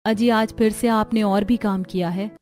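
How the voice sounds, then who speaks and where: A woman voicing a worried, dutiful and soft-hearted poor housewife in a cartoon family drama. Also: medium pitch, fairly even intonation, moderate pace, soft background music, natural voice.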